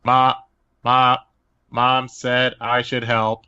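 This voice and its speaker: A man's voice: mid-range voice, monotone, drawn-out words, bright, forceful tone, clean tone, lo-fi sound. A man playing a timid young boy with a hesitant, stammering delivery in a comedic amateur table read.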